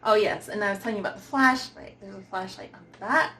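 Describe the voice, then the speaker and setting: A woman giving an informal, unscripted product review, giggly and often pausing to search for words. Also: mid-range voice, bright, forceful tone.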